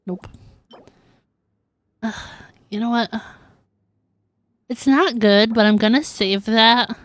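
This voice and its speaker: A woman's voice: on the high side, slow, fairly bright tone. A woman livestreaming a game, chatting casually and informally with her viewers. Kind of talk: casual chat while streaming gameplay.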